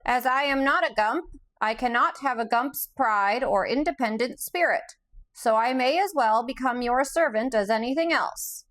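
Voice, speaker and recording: Storybook creature, male, squeaky and mournful, a woman putting on the voice. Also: moderate pace, a bit bright, close to an everyday voice. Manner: mournful, formal and long-winded. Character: squeaky male flying creature with a stuffed moose-like head, gloomy and pompous.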